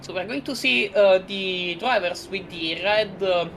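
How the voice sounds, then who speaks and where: A woman with an Italian accent calls a virtual race live, chatty and loose, often stopping to correct herself. Her voice sits at an ordinary pitch for a woman. Loud car engines roar constantly beneath her. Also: a little slow. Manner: chatty, many fillers and self-corrections. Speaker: sim racing commentator. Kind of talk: live play-by-play commentary. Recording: loud racing car engine noise behind. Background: loud game car engines.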